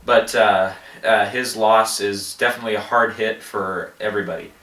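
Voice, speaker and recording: A man with a head cold rambling through movie commentary, halting with many fillers and restarts. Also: on the low side, steady tone, sharp tone, distant mic. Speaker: movie box office vlogger. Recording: echoey room, voice off-mic.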